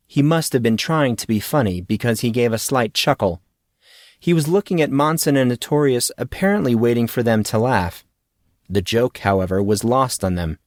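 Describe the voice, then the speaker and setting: A man's voice: medium pitch, no room sound, clean studio sound. A man reading fiction aloud as a scripted audiobook narrator.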